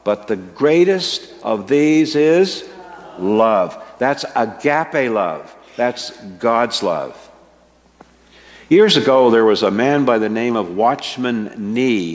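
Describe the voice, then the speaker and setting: An older man preaching a sermon from a church pulpit, slow and earnest, stressing his key words. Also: mid-range voice, lively intonation. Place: church sanctuary with a stage, indoors. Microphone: microphone at a wooden pulpit, fixed camera. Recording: long church reverb.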